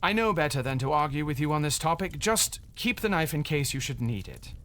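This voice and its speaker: Stiff, ambitious gentleman with swooping intonation. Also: on the high side, sing-song, a little fast. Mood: instructive, stern. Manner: formal, earnest, instructive. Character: stiff, ambitious grown man, banker turned would-be island ruler. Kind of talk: audio drama acting.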